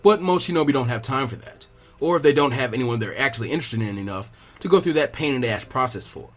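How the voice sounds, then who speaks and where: A man puts on the voice of a gruff veteran ninja teacher in an anime fan story. He speaks low, with a dry, unhurried and dismissive manner, as if effort bores him. Music plays underneath. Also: animated, natural voice. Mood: weary, explaining. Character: gruff, lazy adult male elite ninja instructor. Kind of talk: acted fan fiction reading.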